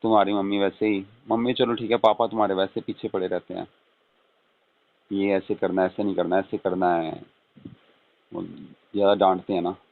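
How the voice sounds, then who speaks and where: The speaker is a middle-aged man with a fairly low-pitched voice. He talks informally and often ends statements with a short question. The sound is narrow and thin, as on a telephone call. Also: level tone, normal speed, pauses often. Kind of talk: casual phone chat. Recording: tinny, narrow phone-call audio.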